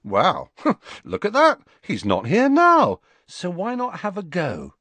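A man voices a defiant teenage boy, mocking and bold, a little above his own pitch. His pitch swings widely and the room is dry. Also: huge pitch swings, takes it slowly, a bit bright, dry recording, heavily acted. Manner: mocking, taunting.

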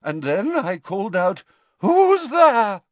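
A haughty older woman who teaches divination, acted out by a male storybook reader. The voice is pitched high and swings widely, drawing words out with theatrical, mystical dignity. She sounds self-important and easily affronted, and the recording is close and dry. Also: big pitch swings, unhurried, no room sound, full character voice. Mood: flustered, sniffy.

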